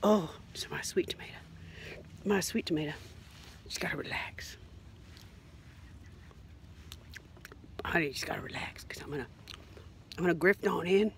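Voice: medium pitch, brisk, vocal fry, pauses often, lightly acted. A performer voicing a rambling, theatrical, crude mock Southern grifter in an improvised satirical monologue, with drawling, melodramatic repetition. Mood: breathy, woozy. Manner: drawling, melodramatic, repetitive, lurching from whispers to shouts.

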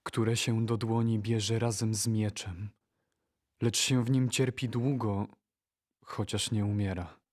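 A man reciting poetry for an audio drama in a solemn, measured manner. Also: lowish voice, fairly even intonation, a little mellow.

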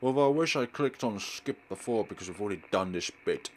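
Low-pitched, lively male let's-player. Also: fairly quick, slight background noise. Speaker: gaming YouTuber. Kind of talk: let's play commentary and guide. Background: game music.

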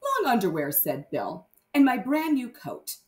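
A woman teaching primary math to young children, reading aloud and explaining deliberately with sing-song emphasis on key words. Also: a little high, wildly swooping pitch, echoey room.

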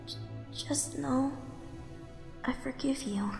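Kind, determined child hero, female actor: earnest, slow-paced, high voice, reverberant comic dub. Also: creaky, exaggerated voice. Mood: earnest, pleading. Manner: earnest, gentle, resolute. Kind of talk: dramatic comic dub acting. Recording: echoey reverb, guitar music behind.

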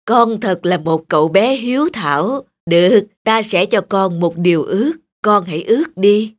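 An actor voicing a benevolent old bearded Buddha spirit in an animated fairy tale, solemn, measured and kindly. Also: mid-range voice, close to an everyday voice. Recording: music under the voice.

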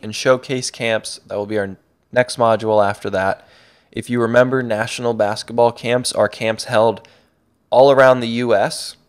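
A man's voice: on the low side, clean tone. A man narrating an online course over slides, upbeat and a little rambling, with frequent restarts. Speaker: course instructor and website creator.

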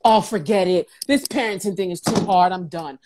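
A woman's voice: lowish voice, brisk, background noise. A woman in her thirties speaking emphatically and casually as a motivational interview guest on a video call. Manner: animated, emphatic, conversational. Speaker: guest, talk show host and entrepreneur. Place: indoors at home on a video call. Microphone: wired earbuds on a video call.

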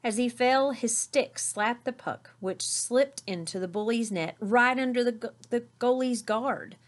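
A middle-aged woman reading a children's story aloud in an expressive narrating style. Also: a little high, unhurried. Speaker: storybook reader. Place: small indoor room with curtain backdrop.

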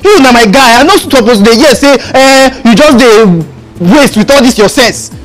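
A man's voice: very high voice, moderate pace, faint music behind, rough recording. An actor playing a blunt, exasperated male friend, badgering his pal in Nigerian Pidgin. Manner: animated, badgering, repetitive. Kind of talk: Nigerian Pidgin film dialogue.

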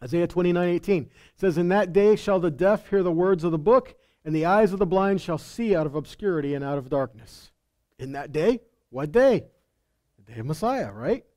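A man's voice: high-pitched, expressive, moderate pace, warm tone. A middle-aged man preaching a teaching sermon, emphatic, with scripture readings and rhetorical questions. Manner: emphatic, asks rhetorical questions and answers them. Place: indoor stage of a congregation hall. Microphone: headset.

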